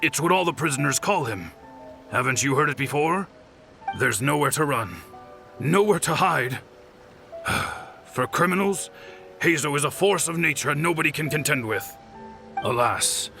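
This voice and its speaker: A man voicing a regretful, resigned former criminal in a video game, gravelly and sighing. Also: on the high side, lively intonation, measured pace, pushed and bright, soft background music, full character voice. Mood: rueful. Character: rueful male ex-crook, gloomy and resigned.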